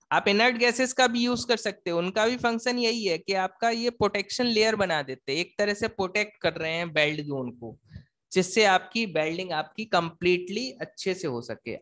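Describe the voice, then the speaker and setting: A man's voice: high-pitched, a bit bright. A man giving an explanatory technical lecture as an engineering teacher, asking and answering his own questions, repeating points and mixing in English technical terms.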